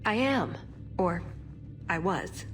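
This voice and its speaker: A woman's voice: on the low side, very animated, takes it slowly, faint music behind. Earnest young elven woman. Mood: reflective. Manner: sincere, hesitant. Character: earnest, idealistic young female elven agent. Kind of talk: video game cutscene acting.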